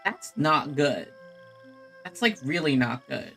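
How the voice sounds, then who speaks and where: A woman's voice: low-pitched, animated, slow, a bit bright. A woman gaming streamer giving casual, reactive commentary with exclamations. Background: faint game music.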